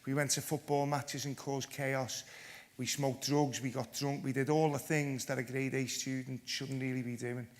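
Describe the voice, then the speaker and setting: A man's voice: mid-range voice, little pitch movement, normal speed. A man giving a conference talk, telling his own story in a blunt, self-deprecating and joking way. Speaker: panel speaker, former PE teacher.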